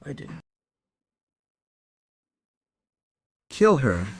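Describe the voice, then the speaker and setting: An actor playing a defiant, resentful young out-of-work suspect in a crime radio play, sullen and evasive. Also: male voice, medium pitch, wildly swooping pitch, moderate pace, slightly rough. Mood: evasive, defensive. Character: defiant, resentful young unemployed working-class male suspect.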